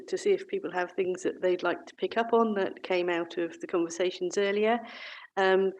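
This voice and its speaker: A woman's voice: on the low side, a little mellow. A woman moderating an online breakout session over a video call, talking with many fillers and restarts. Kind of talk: online meeting facilitation.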